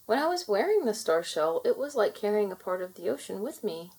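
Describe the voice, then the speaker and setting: A woman voicing a kind, caring young mermaid princess from a storybook, gentle and expressive. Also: medium pitch, fairly quick, breathy edge. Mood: warm, encouraging.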